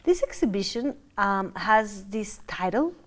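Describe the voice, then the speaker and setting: A woman's voice: medium pitch, very animated, measured pace. A woman interviewing an artist, supportive, often repeating the guest's words back.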